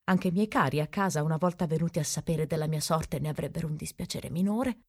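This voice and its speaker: A woman's voice: low-pitched, fast, breathy edge. A woman playing an earnest, plaintive castaway who talks themself into noble self-sacrifice, in theatrical, declamatory radio drama. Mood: pleading.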